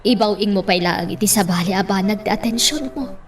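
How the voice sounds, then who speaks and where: A woman's voice: medium pitch, brisk, natural voice. An actress playing a doting older woman who coaxes and advises a young man in an Ilocano radio drama. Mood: coaxing.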